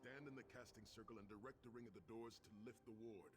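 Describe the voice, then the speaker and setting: An actor playing a solemn, earnest quest guide in a fantasy game, giving formal instructions. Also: male voice, medium pitch, fairly even intonation, fast talker, natural voice. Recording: heavy game ambience noise.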